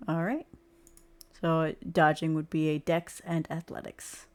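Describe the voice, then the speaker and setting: A woman running a tabletop roleplaying game as game master, explaining rules in a halting way. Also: low voice, flat delivery.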